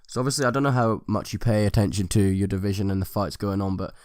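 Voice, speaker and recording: A man's voice: lowish voice, fast talker, a little mellow, no room sound, clean studio sound. A man interviewing a fighter, asking long, rambling questions full of fillers.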